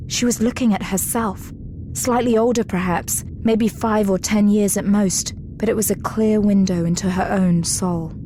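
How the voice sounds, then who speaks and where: A woman giving scripted dramatic narration in a measured, descriptive storytelling manner. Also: medium pitch, noisy background.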